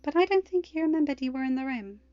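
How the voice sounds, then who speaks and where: A woman voicing a protective, regal goddess mother who speaks in a measured, firm and dryly amused way, in a storybook reading. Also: sits high, expressive, warm tone, no room sound, dull audio, pitched above the performer's own voice. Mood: gently amused.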